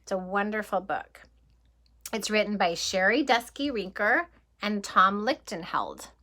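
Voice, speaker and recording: Kindergarten teacher type, woman, unhurried storybook read-aloud with a crisp, bright tone. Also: medium pitch. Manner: gentle, expressive storybook reading with questions to children. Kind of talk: children's picture book read-aloud.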